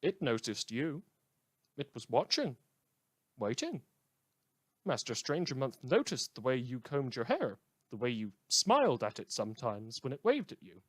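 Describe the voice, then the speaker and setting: A man's voice: medium pitch, sing-song, unhurried, no echo. A man playing a wry, opinionated community radio host in an audio drama, reading scripted news with a theatrical, dryly ironic delivery. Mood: theatrical, ominous.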